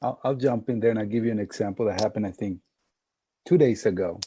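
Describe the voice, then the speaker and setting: A man's voice: fairly low pitch, fast, dark tone, slight background noise. A man telling personal stories casually as a podcast guest, in short, punchy sentences.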